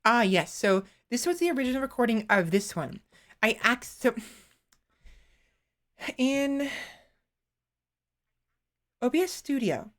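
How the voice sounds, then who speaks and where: A woman streamer chatting casually into a desktop microphone, rambling and halting, often restarting her sentences and poking fun at herself. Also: a little high, very animated. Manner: self-deprecating. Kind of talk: casual live-stream chat.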